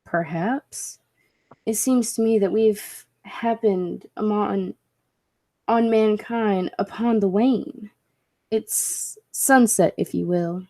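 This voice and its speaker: A woman's voice: mid-range voice, takes it slowly, mellow. A woman playing a skeptical, intense professor of science, speaking earnestly and emphatically in a 1940s-style radio play. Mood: frustrated lecturing. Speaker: amateur drama club actor.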